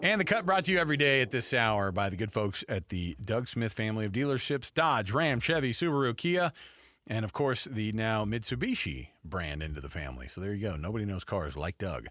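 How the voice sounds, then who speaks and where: A man's voice: on the low side, animated, brisk, fairly bright tone, dry recording. A man hosting a sports radio show in a casual, chatty style, close on a studio mic.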